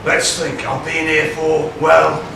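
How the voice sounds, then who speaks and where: In a noisy, very echoey hall, a man plays a ragged old pantomime chambermaid turned prisoner. The voice is fairly high, telling tales in a rambling, comic way from a distance. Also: completely flat delivery, slow. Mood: rambling, confiding. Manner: rambling, comic storytelling with hesitations. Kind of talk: stage pantomime comedy acting. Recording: very echoey hall, distant mic, clear noise.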